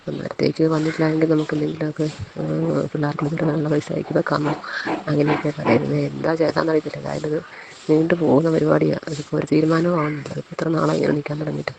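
A woman's voice: low-pitched, flat delivery, very fast, vocal fry, warm tone, slight background noise. A woman with a central Kerala accent talking casually to a friend in a recorded phone call.

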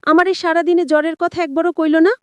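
A woman's voice: very high-pitched, flat delivery, fairly quick, no echo, pitched above the performer's own voice. A woman playing a devoted but suspicious village wife in an audio story, with colloquial, questioning rural Bengali speech. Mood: doubtful.

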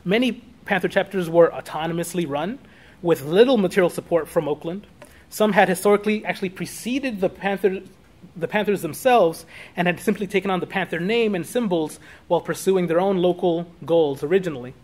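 High-pitched man giving an academic talk. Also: fairly quick, darkish tone. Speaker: academic lecturer. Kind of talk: academic talk read from notes with spoken asides. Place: small classroom or meeting room, indoors.

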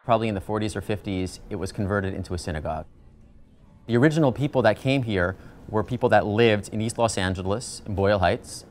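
A man's voice: fairly low pitch, fast talker, a little hiss or hum. A man in his thirties, a rabbi from the US East Coast, speaking in an earnest, reflective, conversational way in a documentary interview. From United States, East Coast. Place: outdoors on a shaded porch. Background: soft music.

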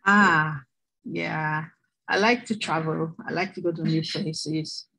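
A doctoral student introducing herself in an online class, chatty and laughing, with restarts. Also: female voice, deep voice, steady tone, slow pace, creaky, pushed and bright, slightly rough, poor audio. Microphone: video call.

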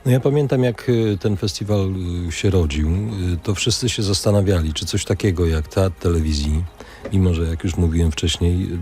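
A man's voice: low voice, normal speed, a little mellow, faint music behind. A male radio interviewer talking conversationally, with hesitant, roundabout phrasing.

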